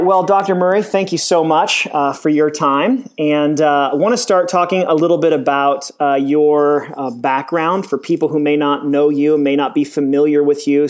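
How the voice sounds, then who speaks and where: A man hosting a podcast interview, asking casual questions in a friendly way, with fillers and short affirmations. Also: fairly high pitch. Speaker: podcast host. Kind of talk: interview host, scripted intro then casual questions.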